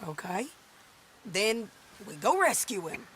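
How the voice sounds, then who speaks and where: A woman's voice: mid-range voice, big pitch swings, slow pace, very noisy. An actress playing a tough, vengeful Old West outlaw woman in a video game, with an American accent and blunt, folksy speech. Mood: grimly determined.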